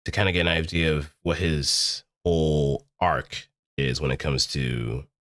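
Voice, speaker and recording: A man streaming a casual tutorial, explaining things step by step in a deliberate, halting way with emphatic repetition. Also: rumbling low, steady tone, a bit bright, no room sound, studio quality.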